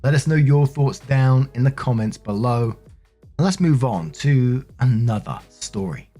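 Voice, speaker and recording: A man's voice: medium pitch, lively intonation, a little slow, warm tone, faint music behind. A man narrating online stories aloud as a show host, conversational and informal, with casual commentary.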